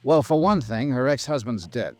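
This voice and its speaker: An actor playing a tough, persistent insurance investigator in 1950s American radio drama, clipped and wry. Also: male voice, medium pitch, animated, moderate pace, a little mellow, close to an everyday voice. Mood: dry, probing. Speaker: detective lead and narrator. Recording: old radio recording with music.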